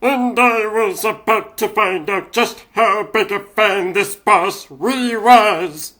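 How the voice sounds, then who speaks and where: A man's voice: high-pitched, takes it slowly, bright, forceful tone. A man voicing a young mouse basketball star, telling his story in wry, slangy scripted narration for a comic dub. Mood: wry, reflective.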